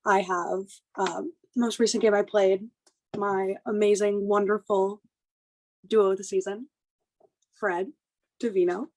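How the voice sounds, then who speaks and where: Slow-speaking woman introducing her team. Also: mid-range voice, fairly even intonation, rough recording. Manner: slow, warm, enthusiastic introductions. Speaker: team captain introducing players.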